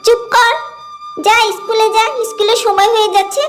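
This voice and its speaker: A performer voicing an impatient village mother in a cartoon story, nagging her young daughter briskly. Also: very high-pitched, flat delivery. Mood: scolding. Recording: background music.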